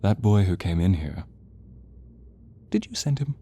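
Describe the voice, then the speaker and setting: A man's voice: sits low, huge pitch swings, a little slow, dark tone, full character voice. A man playing a sadistic, volatile psychopath villain in a theatrical audio roleplay, agitated and prone to outbursts. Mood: cold, threatening. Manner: hissing threats, sudden outbursts, agitated.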